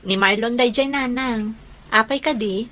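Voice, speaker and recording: A woman playing a shy young adult daughter in an audio drama, gently protesting. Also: on the high side, moderate pace. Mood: sheepish.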